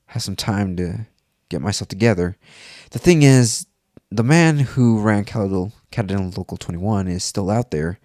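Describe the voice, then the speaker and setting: A man's voice: lowish voice, darkish tone, no echo, studio quality. A man narrating a scripted first-person horror story as if it were his own account.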